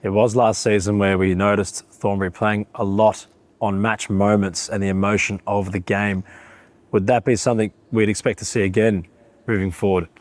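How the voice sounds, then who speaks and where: A man interviewing a futsal player, asking measured, formal questions. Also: fairly low pitch, flat delivery. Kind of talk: sports interview.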